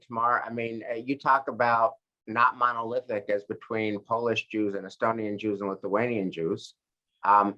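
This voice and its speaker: A man leading a study group as rabbi, talking in a thoughtful, conversational way with frequent pauses and restarts. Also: on the low side, sharp tone, highs cut off. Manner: thoughtful, many fillers and restarts. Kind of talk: conversational teaching discussion.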